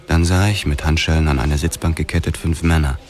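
Very deep-voiced hard-boiled male narrator. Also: fairly even intonation, brisk, a little mellow. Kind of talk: scripted first-person narration.

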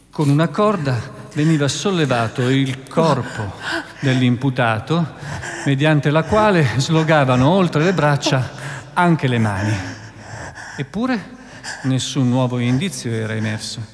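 An actor playing a measured, sombre narrator who recounts a historical trial in solemn, scripted radio theatre style. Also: male voice, medium pitch, a little hiss or hum, natural voice. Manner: deliberate, solemn, declamatory. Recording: reverberant hall with guitar music.

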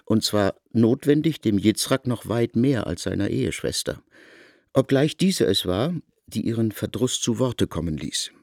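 A man narrating a literary radio drama in a formal, emphatic, expressive manner. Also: lowish voice, vocal fry, no room sound, studio quality.

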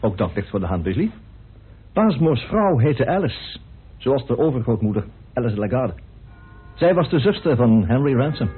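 A man's voice: mid-range voice, very animated, normal speed, long pauses, a little hiss or hum, natural voice. An actor playing a grim, indignant man in a radio play, speaking emphatically and accusingly. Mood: grave, insistent. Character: grim, indignant man bitterly revealing a hidden truth. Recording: muffled, narrow old radio recording.

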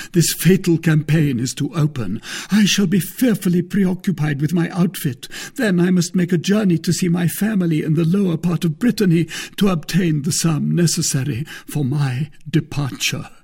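A man's voice: a little high, moderate pace, few pauses, mellow, slightly rough, pitched above the performer's own voice. A man voicing a proud musketeer in an audiobook, pompous and melodramatically wounded as he declaims. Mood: melancholy.

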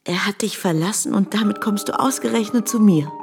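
A woman's voice: mid-range voice, fairly quick, close to an everyday voice. An actress playing a cool, sceptical ex-wife in a radio drama, speaking curtly and dryly, on her guard. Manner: curt, dry, guarded. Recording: music under the voice. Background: music.

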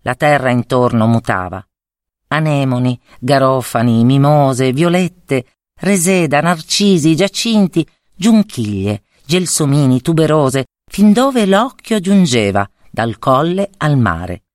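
A woman storyteller narrating a fairy tale expressively, in theatrical, measured phrases with pauses. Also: rumbling low, big pitch swings, a little mellow, no room sound, studio quality.

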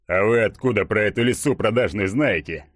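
A man voicing a burly, tipsy ex-soldier in an audiobook, gruff and suspicious, rambling colloquially. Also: lowish voice, a little fast, no echo, clean studio sound, close to an everyday voice. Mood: angry, suspicious.

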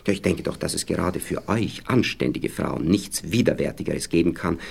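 A man's voice: deep voice, moderate pace, gravelly, slightly rough, exaggerated voice. An actor playing a smug, moralizing husband in a radio play, with an Austrian accent, talking down to his young wife as he gropes for words. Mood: indignant. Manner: patronizing, hesitant, groping for words.

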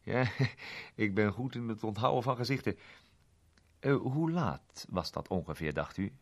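A man's voice: lowish voice, expressive, unhurried, pitched above the performer's own voice. A man voicing a chatty, plain-spoken male garage owner who rambles with fillers. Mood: friendly. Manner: rambling, homely, full of fillers.

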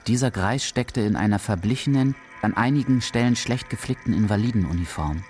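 Low-voiced man narrating a radio drama. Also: a little fast, darkish tone, faint music behind.

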